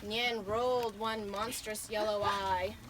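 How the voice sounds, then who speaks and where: A woman's voice: medium pitch, fairly even intonation, slow pace. A woman reading a picture book aloud to children at a live event, dramatically stretching out key words. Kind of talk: animated picture-book storytelling to an audience. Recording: noisy live reading with audience sounds.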